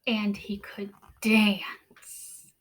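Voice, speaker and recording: A woman teacher reading a picture book aloud to children in a deliberate, expressive way, stressing key words. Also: mid-range voice.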